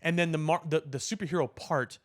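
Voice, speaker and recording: A man's voice: on the high side, fairly even intonation, brisk. A man co-hosting a casual podcast, giving firm opinions and explaining his points at length. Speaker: podcast co-host. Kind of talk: casual pop culture discussion.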